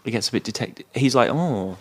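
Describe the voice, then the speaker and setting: A man's voice: medium pitch, animated, fast. A man co-hosting a podcast, casually retelling a film's plot in a hesitant, loosely conversational way. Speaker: podcast co-host.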